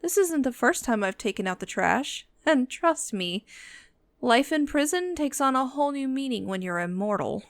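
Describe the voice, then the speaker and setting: A woman's voice: high voice, lively intonation, normal speed, dry recording. A woman playing a bossy, protective immortal vampire in an ASMR roleplay, speaking with dry, commanding condescension. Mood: protective, darkly amused. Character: bossy, protective female vampire, immortal, businesslike and dryly menacing. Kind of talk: scripted ASMR roleplay audio, addressing the listener.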